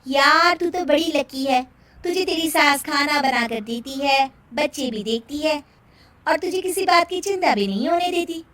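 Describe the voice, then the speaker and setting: A woman puts on a young female office friend for a cartoon tale. She is chatty and envious, with a high, emphatic voice. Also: lively intonation, moderate pace, fairly bright tone. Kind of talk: cartoon moral story acting.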